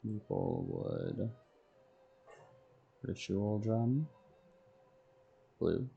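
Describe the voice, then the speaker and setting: Male game streamer: very slow, halting self-talk, heavy vocal fry. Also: on the low side, wildly swooping pitch, muffled recording. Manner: halting, terse, talking to himself. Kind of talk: muttering item names while making a list.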